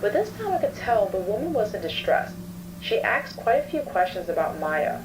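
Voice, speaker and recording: A woman's voice: medium pitch, very animated, normal speed, close to an everyday voice. A woman playing a composed, probing child therapist who records session notes in a scripted horror story. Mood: composed, uneasy. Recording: distant playback with clear background noise.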